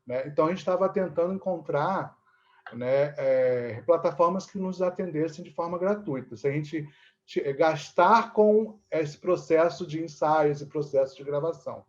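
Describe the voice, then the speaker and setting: Brazilian guy explaining stuff in an interview, voice a bit high, keeps groping for words, dull lofi sound. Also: a little mellow. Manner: halting, searching for words, frequent checking tags. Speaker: interviewee, theatre teacher and actor.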